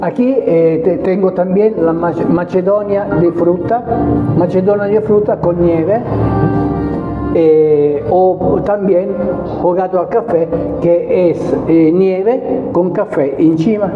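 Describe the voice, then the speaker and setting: A middle-aged Italian man, a chef, talking through a casual interview in halting Spanish mixed with Italian, with frequent hesitations. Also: high-pitched. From Italy. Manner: mixes Italian into hesitant Spanish, lively hand gestures. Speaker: chef and restaurant owner. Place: small restaurant dining room, indoors. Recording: echoey room, phone-like sound, background music.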